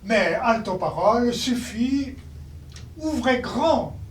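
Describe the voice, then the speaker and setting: A performer recites poems in a declamatory manner, leaving long pauses between short phrases. The delivery is extremely slow and deliberate. The voice is picked up from a distance with faint noise around it. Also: medium pitch, lively intonation, reverberant. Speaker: performer reading poems in a show. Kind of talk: dramatic poetry recitation.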